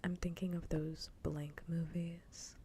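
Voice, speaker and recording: A woman streamer chatting casually and with opinions in a soft, breathy whisper, close to an ASMR microphone. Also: on the low side, little pitch movement, slow pace, slight background noise.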